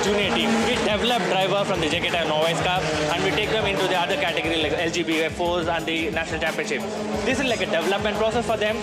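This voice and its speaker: A man, a racing team owner, answering interview questions outdoors at a circuit in a halting, earnest way. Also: high-pitched. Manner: halting, earnest, repeats and restarts words. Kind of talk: interview answers at a race track. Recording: loud music over outdoor track sound.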